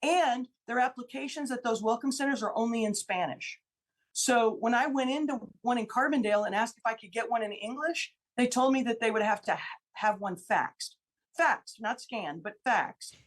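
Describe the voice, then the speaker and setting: A woman's voice: on the high side, normal speed. Emphatic woman, a politician on talk radio. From Illinois. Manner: emphatic, repeats key figures for stress. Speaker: state senator guest. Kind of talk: radio interview, political argument.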